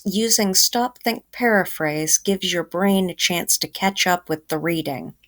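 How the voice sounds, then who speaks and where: A woman teacher giving children a reading lesson, explaining simply and instructively. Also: medium pitch.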